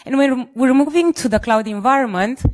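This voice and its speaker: A woman with a Romanian accent giving an informal conference presentation as a security engineer, in a somewhat halting delivery. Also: sits high. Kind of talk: conference presentation with light jokes.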